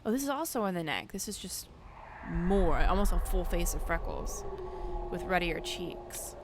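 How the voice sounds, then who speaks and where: A woman's voice: on the low side, lively intonation, moderate pace, noisy background. A woman hosting a gaming video, giving casual, chatty play commentary as she thinks aloud.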